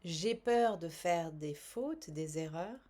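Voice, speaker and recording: Female coach for guided tapping exercise: slightly low pitch, very slow delivery, measured instructions with pauses. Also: lively intonation, dark tone. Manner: measured, instructional, with pauses between short phrases. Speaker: tapping coach and language teacher.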